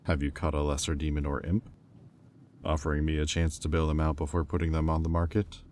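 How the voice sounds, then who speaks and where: A man playing a haughty, sardonic demon bidder in an audio roleplay, speaking with condescension and deliberate pauses. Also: a bass voice, completely flat delivery, a little mellow, a little hiss or hum. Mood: smug, intrigued.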